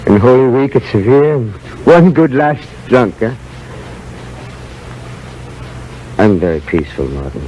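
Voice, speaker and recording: A man's voice: mid-range voice, sing-song, brisk, highs cut off, close to an everyday voice. Man playing a boastful big-hearted Swede, theatrical declamation, blunt clipped phrases, 1930s radio play, faint noise on a vintage broadcast. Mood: weak, dying. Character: hearty, boisterous Swedish rat-killing scientist, boastful, humane, stubborn. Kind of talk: 1930s radio drama acting.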